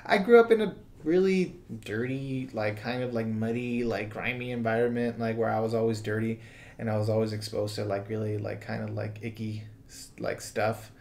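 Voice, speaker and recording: An American man talking casually as a podcast host, rambling and halting, leaning heavily on "like" and "you know" as he comments on the news. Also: on the low side, fairly even intonation, vocal fry, a little mellow.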